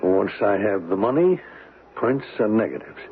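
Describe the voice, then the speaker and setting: A nervous, greedy schemer in an old radio drama. His low-leaning voice is creaky and lively, with terse, evasive replies on a dull, faintly noisy recording. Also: moderate pace. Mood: evasive, edgy. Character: weak, nervous, greedy male schemer without conscience. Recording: muffled old radio broadcast, faint noise.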